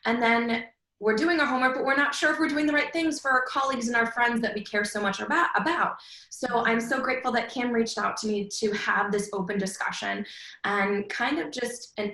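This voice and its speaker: A woman's voice: a little high, pushed and bright, roomy sound, poor audio. A woman dietitian opening a discussion in an enthusiastic, chatty way with frequent fillers. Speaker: dietitian, co-host. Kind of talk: casual conversational introduction.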